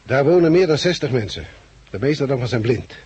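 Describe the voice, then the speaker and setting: A very husky, rough-edged man in an old radio drama, a loudmouthed agitator now leading survivors. He speaks bluntly and lectures with coarse, practical force at a middling pitch. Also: animated, fairly quick. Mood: earnest, lecturing. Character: blunt, loudmouthed male labour agitator turned pragmatic survivor leader. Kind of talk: 1960s radio drama acting. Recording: muffled-edged 1969 radio play recording.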